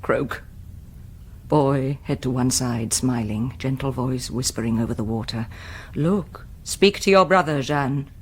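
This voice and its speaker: A British woman playing an intense, brooding Mother Superior in a radio drama, moving between command and hush. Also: rumbling low, a little slow, breathy edge, full character voice. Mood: dreamy reminiscence. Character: troubled, imperious Mother Superior of a convent.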